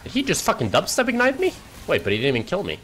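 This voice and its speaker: A young man from Norway, a native Norwegian speaker, joking through live gameplay commentary and chat banter, swearing and shouting at big moments in the game. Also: sits high, huge pitch swings, racing, soft background music. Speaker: streamer.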